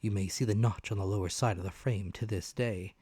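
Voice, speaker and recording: A man's voice: lowish voice, steady tone, fairly quick, mellow, slightly rough, dry recording. A man giving a dramatic narrated reading of a classic adventure novel. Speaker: audiobook narrator.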